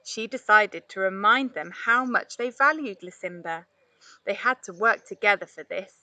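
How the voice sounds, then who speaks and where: A woman reading a children's story aloud in an expressive narrating style. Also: mid-range voice, normal speed, no echo. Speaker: storybook reader, play therapist.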